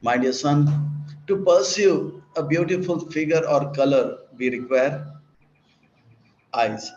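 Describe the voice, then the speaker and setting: A man's voice: fairly high pitch, slow, darkish tone, a little hiss or hum. A man giving a sermon, preaching in repetitive, list-like emphatic phrases and sometimes acting out dialogue. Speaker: monk and preacher. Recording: roomy, dull lecture recording.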